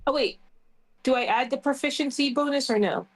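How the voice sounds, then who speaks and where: A woman's voice: high-pitched, a little fast. A woman chatting casually as she plays a tabletop roleplay game.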